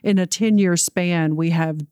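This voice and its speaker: A woman's voice: on the low side, steady tone, warm tone, clean tone, no room sound, studio quality. A woman hosting a faith podcast, enthusiastically introducing her guest in casual chat. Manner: enthusiastic, rapid when introducing. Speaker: podcast host.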